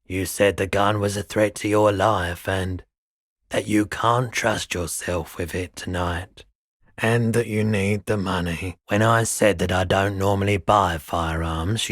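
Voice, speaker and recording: A very low-voiced, middle-aged pawnshop keeper from a video game, talks slowly. He is gentle and a little odd, musing in a laid-back, weary way, and the recording is close and dry. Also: steady tone, dry recording. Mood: polite, detached. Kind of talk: video game character dialogue.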